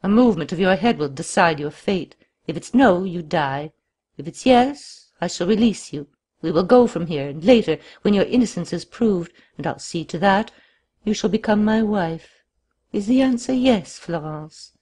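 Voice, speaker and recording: A desperate, murderous crippled man, as a woman narrator puts him on, swinging wildly from begging to bellowing threats. The voice sits husky and breathy, pushed up high and loud at its peaks. It comes across as an audiobook reading. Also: lively intonation, normal speed. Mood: frantic pleading. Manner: wild swings from pleading to shouting.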